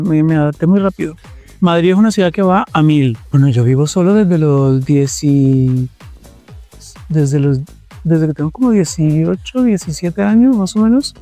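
A man's voice: on the high side, mellow. A man with a Colombian accent talking conversationally in an interview, repeating phrases for emphasis. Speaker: interviewee, TV presenter and producer.

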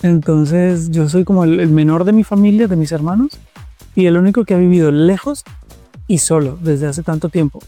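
A man's voice: on the high side, normal speed, dark tone, faint music behind. A man with a Colombian accent talking conversationally in an interview, repeating phrases for emphasis. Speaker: interviewee, TV presenter and producer.

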